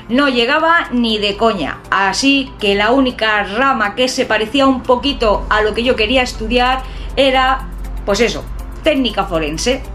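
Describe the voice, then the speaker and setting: A woman in her fifties from Catalonia, with a southern-sounding Spanish accent from an Extremaduran father, chatting to camera in a jokey, expressive way from a small room at home. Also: high voice. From Catalonia, Spain. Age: 50s. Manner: chatty, expressive, jokey, lively hand gestures. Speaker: urban exploration vlogger. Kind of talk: personal talk to camera.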